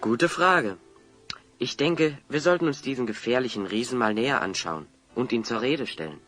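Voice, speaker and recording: An actor playing a level-headed young adventurer hero, articulate and courteous, in a children's audio drama. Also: male voice, medium pitch, lively intonation, normal speed, a bit bright, soft background music. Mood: resolute, cautious.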